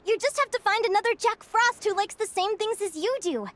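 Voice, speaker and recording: A woman playing a cheerful, stubbornly optimistic young adventurer in a video game, bubbly, excitable and earnest. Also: very high voice, a little fast. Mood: excited, delighted. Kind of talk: animated video game voice acting.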